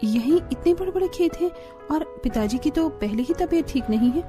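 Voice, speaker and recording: A woman's voice: high-pitched, expressive, moderate pace, music in the background. A woman voicing a caring, anxious young daughter-in-law in a family cartoon, earnest and concerned. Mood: worried.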